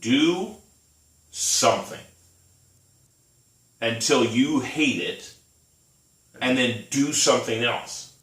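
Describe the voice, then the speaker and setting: Thirtysomething guy, bright voice, rambly casual chat, roomy echo, mic kinda far off. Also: mid-range voice, slow, long pauses. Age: 30s. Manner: rambling storyteller, laughs often. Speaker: podcast co-host. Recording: echoey room, mic at a distance.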